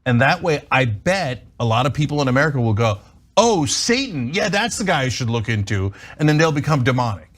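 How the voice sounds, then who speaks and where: A man's voice: medium pitch, very animated, fairly quick, fairly bright tone. A man hosting a news talk show, giving sarcastic commentary in a mocking storytelling way.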